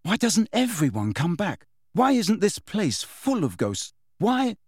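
A man narrator performs a pleading teenage boy wizard from a storybook, pitched up high. He is impatient and insistent, firing urgent questions with swooping intonation. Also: huge pitch swings, a little slow, darkish tone, breathy edge, no echo, clean studio sound, exaggerated voice. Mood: agitated.

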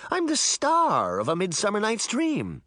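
A man's voice: mid-range voice, very animated, unhurried, gravelly, bright, forceful tone, no echo, studio quality, heavily acted. A man voicing a boastful, indignant fairy trickster boy in a theatrical, crowing way for an audiobook. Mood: indignant, roaring. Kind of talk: audiobook character voice.

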